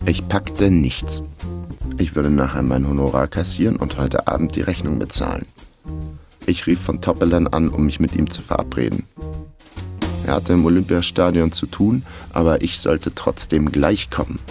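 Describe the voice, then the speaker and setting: A weary, sly small-time investigator in a German radio crime play. The voice is very deep and gravelly, dry and laconic, with music underneath. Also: male voice, normal speed. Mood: dry, matter-of-fact. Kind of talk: German radio crime drama, first-person narration and dialogue.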